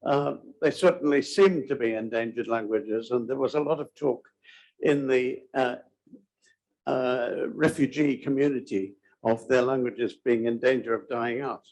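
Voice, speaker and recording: A man from Australia giving a conference talk, pausing often with ums and uhs. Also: mid-range voice, a little mellow, low-quality recording. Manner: hesitant, many ums and uhs. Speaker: conference speaker.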